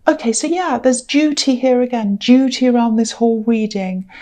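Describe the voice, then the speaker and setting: Hoarse, croaky woman reading tarot. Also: a little high, moderate pace, dark tone. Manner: rambling, reassuring, many ums and restarts. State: hoarse, voice going. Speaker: tarot reader. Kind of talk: casual spoken tarot reading.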